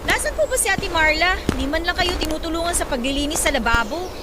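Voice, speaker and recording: High-voiced, caring young daughter. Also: female voice, animated, quick pace, very noisy. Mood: gently worried. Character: caring young adult daughter, band musician, worried about her mother. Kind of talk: Tagalog radio drama acting. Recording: loud music bed under the voice.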